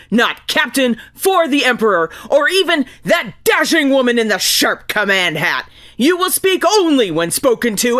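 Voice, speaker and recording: A woman playing a gruff, sarcastic female military captain in an audio drama, barking and mocking theatrically. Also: fairly high pitch, sharp tone, dry recording, clean studio sound. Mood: barking, menacing.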